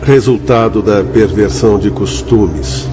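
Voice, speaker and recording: A Brazilian actor playing a stern, moralising spirit mentor with declamatory delivery in a radio drama. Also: male voice, lowish voice, unhurried, natural voice. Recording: dull band-limited sound, music underneath. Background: background music.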